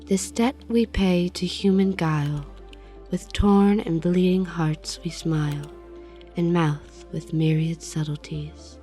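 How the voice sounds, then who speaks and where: A woman playing a wealthy, menacing manipulator in an audio drama, speaking in a measured, erudite, controlled manner. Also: lowish voice, steady tone, dark tone, faint music behind. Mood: quietly menacing. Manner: measured, philosophical, veiled threats.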